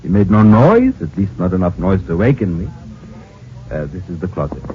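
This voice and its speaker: Formal German professor, tinny old radio. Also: male voice, low voice, big pitch swings, a little hiss or hum. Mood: matter-of-fact. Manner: formal, deliberate, halting. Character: German émigré male scientist, measured and secretive professor. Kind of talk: old-time radio acting.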